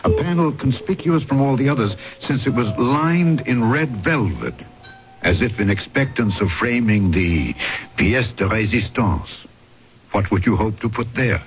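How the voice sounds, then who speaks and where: A man's voice: medium pitch, animated, measured pace, close to an everyday voice. An actor playing a small, dapper policeman, shrewd and courteous, narrating and acting in an old-time radio drama. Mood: brooding, reflective. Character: small dapper French policeman, shrewd, polite, conscience-torn. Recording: dull old radio recording with music.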